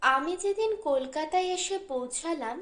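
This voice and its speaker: A woman reads a short story as an audiobook narrator, in a measured, deliberate way with pauses between phrases. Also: sits high, animated, fairly bright tone, echoey room.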